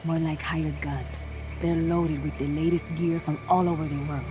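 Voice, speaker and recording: A woman's voice: deep voice, flat delivery, normal speed, lightly acted. An actress voicing a blunt, streetwise young woman guerrilla fighter in a game cutscene. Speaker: game character. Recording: game cutscene with music behind.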